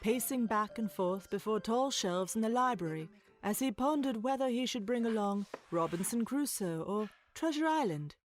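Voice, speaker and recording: A woman narrating a children's story from a script, with expressive, literary phrasing. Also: medium pitch. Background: music.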